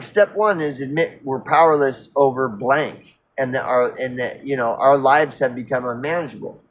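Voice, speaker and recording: A man of fifty on an unscripted personal rant, earnest and insistent, halting and repeating himself as he circles back mid-sentence, casually profane. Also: a little high, a bit bright, breathy edge. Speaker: recovery vlogger.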